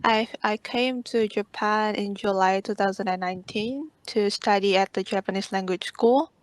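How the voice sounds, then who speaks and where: A woman's voice: medium pitch, normal speed. A young woman from Bali giving a short, plain self-introduction on a video call. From Indonesia (Bali). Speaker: interviewee. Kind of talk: casual online interview.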